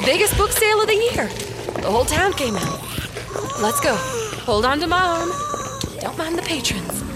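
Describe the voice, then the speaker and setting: A caring, exasperated townswoman, played by an actress in a zombie audio drama. Her voice is high and very animated, brisk and urgent, over loud music. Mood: concerned, coaxing.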